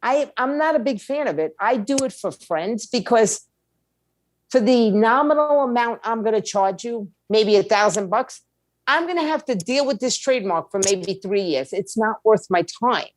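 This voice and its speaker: A woman's voice: on the high side, animated, moderate pace, no echo. A woman speaking as a guest expert in an interview, explaining things in a plain-spoken, emphatic, conversational way. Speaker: guest, music attorney and indie label owner.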